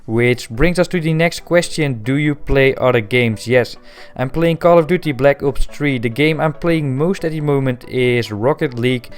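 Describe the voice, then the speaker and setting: A man in his twenties from the Netherlands with a Dutch accent, answering viewer questions in a casual voice-over, matter-of-fact with frequent pauses. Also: medium pitch, clear, resonant tone. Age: 20s. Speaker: gaming video creator.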